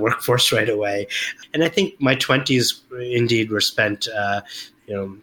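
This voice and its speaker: Bright-voiced man, hesitant interview guest. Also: lowish voice, rough recording. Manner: hesitant, many fillers and restarts. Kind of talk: reflective interview talk.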